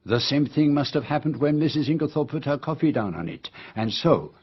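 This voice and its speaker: A methodical detective in a radio mystery, patient and theatrical. His voice is slightly husky, and he lays out his case deliberately with dramatic emphasis. The recording is a little dull and muffled. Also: on the high side, animated. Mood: explaining.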